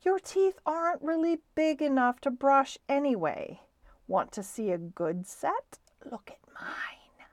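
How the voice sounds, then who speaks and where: A sneering male house cat from a children's story acted out by a woman reader. The voice is hushed and breathy, drawling its sharp taunts without any hurry. It is recorded close and dry. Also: high-pitched, expressive, a little slow, dry recording, voice pushed up. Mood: sardonic.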